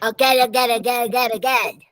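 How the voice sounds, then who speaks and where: A woman's voice: a little high, fairly even intonation, brisk, a bit bright, close to an everyday voice. A woman voicing a stubborn, demanding little boy in a children's storybook, speaking in short babyish bursts. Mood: insistent, pleading.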